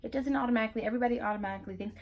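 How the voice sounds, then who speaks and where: A woman's voice: on the high side, very fast, vocal fry, dull audio, a little hiss or hum. Woman, Spanish accent, young adult, frank casual vlog talk, swears, lots of ums. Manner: frank and chatty, swears, many fillers and restarts. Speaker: vlogger. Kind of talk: casual educational monologue.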